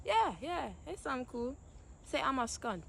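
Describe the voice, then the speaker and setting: A woman's voice: high-pitched, animated, normal speed, creaky, a bit bright, slight background noise. A woman with a Caribbean accent in casual conversation, blunt, indignant and sarcastic.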